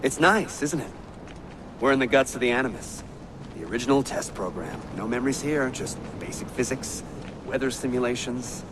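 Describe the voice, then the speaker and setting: A man's voice: medium pitch, animated, moderate pace, background noise, lightly acted. An actor playing an unstable, taunting man trapped as a ghost inside a machine, with a theatrical, sardonic, erratic delivery for a video game. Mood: manic, playful.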